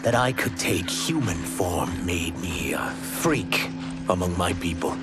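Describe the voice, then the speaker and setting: An actor voicing a remorseful reptilian shapeshifter in human form, earnest and formal, in dramatic video game style. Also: male voice, low voice, expressive, takes it slowly, over loud music. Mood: sorrowful. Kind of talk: video game cinematic acting.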